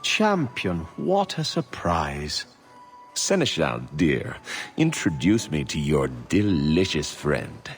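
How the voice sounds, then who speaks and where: A flamboyant, flirtatious socialite for a fantasy game. The voice is extremely low and very slow, swooping theatrically over faint music. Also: male voice, sing-song, exaggerated voice. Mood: seductive delight. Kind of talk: video game cutscene acting.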